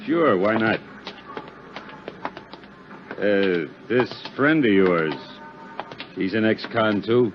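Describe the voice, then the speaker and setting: An actor playing a wary small-time ex-convict with slangy, offhand, guarded talk in a 1950s radio drama. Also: male voice, fairly low pitch, lively intonation, extremely slow, pauses often, noisy background. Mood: easygoing. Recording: dull 1950s radio recording with music.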